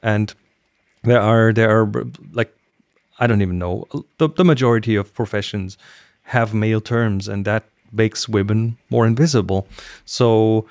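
A man's voice: on the low side, steady tone, measured pace, darkish tone, dry recording. A middle-aged man from Germany, a native German speaker, co-hosting a casual podcast discussion on a close microphone. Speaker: podcast co-host. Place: small room at home, indoors, video call. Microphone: close podcast microphone with headset.

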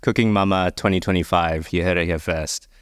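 A man's voice: low voice, steady tone, fairly quick, clean tone, no echo, clean studio sound. A man co-hosting a podcast in casual panel chat, hesitant and given to joking asides. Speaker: podcast co-host.

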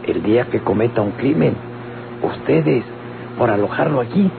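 Creaky-voiced, insinuating Mexican gentleman. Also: mid-range voice, lively intonation, moderate pace, narrow, tinny audio. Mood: persuasive. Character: courteous, scheming man pressing women to sell. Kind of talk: old radio drama acting. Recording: noisy, band-limited old radio recording.

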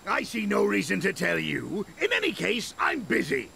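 An actor playing a pompous, scheming mad scientist villain with a gravelly, theatrical, gloating delivery in a game cutscene. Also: male voice, high-pitched, huge pitch swings, moderate pace, sharp tone, slight background noise, full character voice. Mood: irritated, scornful. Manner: theatrical, gloating, mock-polite. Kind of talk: animated game cutscene acting. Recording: music under the voice.

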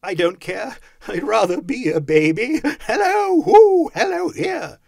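A man's voice: fairly high pitch, wildly swooping pitch, normal speed, breathy edge, no room sound, studio quality, pitched above the performer's own voice, heavily acted. A man voicing an overjoyed, reformed old miser from a storybook, exclamatory and effusive, whooping with delight. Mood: giddy, carefree.

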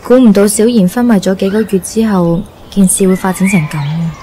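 Woman playing a calm, mellow Cantonese nun. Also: mid-range voice, husky, lightly acted. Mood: gentle. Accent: Hong Kong Cantonese. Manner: measured, gently philosophical advice. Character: calm, kindly nun counselling a grown orphan. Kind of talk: radio drama acting.

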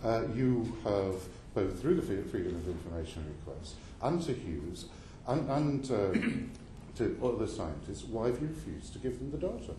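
A man's voice: on the low side, big pitch swings, moderate pace, mellow. A man questioning a witness at a parliamentary committee hearing, pressing and insistent, stumbling and restarting his sentences. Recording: roomy hall sound with faint noise.

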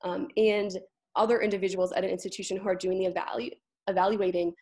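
A woman's voice: medium pitch, little pitch movement, fast talker, darkish tone. A young woman speaking as a panelist, explaining things in a discussion over a video call. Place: indoor room on a video call.

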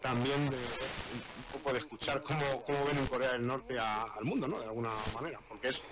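A man's voice: medium pitch, fairly quick. A man explaining informally as a guest in a radio interview. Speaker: radio guest, shortwave expert. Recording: very noisy FM radio reception.

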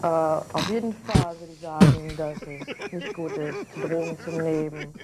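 A woman's voice: low voice, measured pace, over loud music. A young woman from Germany, a show guest speaking hesitantly, recorded on old videotape.

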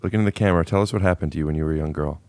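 A man in his thirties hosting a podcast, loud and deadpan as he jokes with his guest, seated on a sofa in a living room at a boom-arm mic. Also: rapid-fire, dry recording. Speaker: podcast host. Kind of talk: casual chat. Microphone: studio mic on boom arm, headphones.

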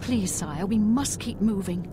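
A woman's voice: mid-range voice, a little slow, background noise, natural voice. A woman playing a stern, commanding imperial guard captain in a fantasy game, clipped and authoritative. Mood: stern urgency.

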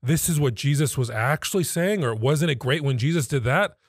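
A man in his thirties, a podcast guest explaining things conversationally in measured, emphatic phrases. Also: mid-range voice, breathy edge, dry recording, clean studio sound. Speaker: podcast guest, pastoral associate. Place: small indoor podcast studio. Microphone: close studio mic on stand.

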